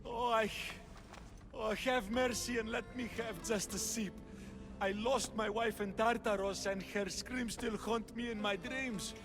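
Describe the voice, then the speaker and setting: An actor playing a grieving, desperate widower in a video game, pleading haltingly. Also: male voice, sits high, steady tone, over music. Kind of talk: video game cutscene acting.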